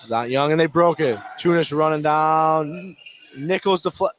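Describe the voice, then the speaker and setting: A man doing live play-by-play radio commentary of a high school basketball game in clipped running phrases. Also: unhurried, slight background noise.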